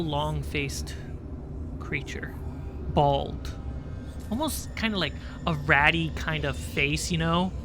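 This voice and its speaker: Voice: mid-range voice, noisy background. A game master narrating and refereeing a livestreamed tabletop game in an informal, suspenseful storytelling way. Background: music.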